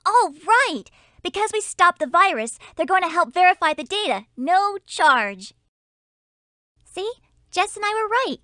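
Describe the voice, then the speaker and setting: A woman's voice: very high-pitched, sing-song, measured pace, pushed and bright, no room sound, studio quality, exaggerated voice. A woman voicing a perky, confident child adventurer in a cartoon computer game, emphatic and self-assured.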